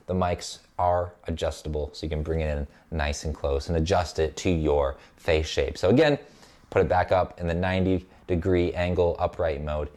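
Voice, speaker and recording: A man narrating a scripted product review in a measured, explanatory way. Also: low-pitched, a little mellow.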